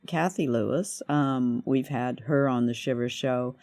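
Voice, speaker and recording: Canadian man with a gravelly, creaky voice. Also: medium pitch, a little slow, a little mellow, no echo. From Vancouver, Canada. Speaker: podcast host. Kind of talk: conversational radio drama review.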